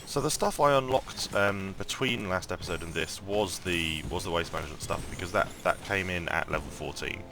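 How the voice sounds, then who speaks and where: A man with a croaky morning voice giving casual gameplay commentary, thinking aloud and rambling with lots of ums and restarts. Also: deep voice, brisk, few pauses, background noise. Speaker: mobile game let's-play host.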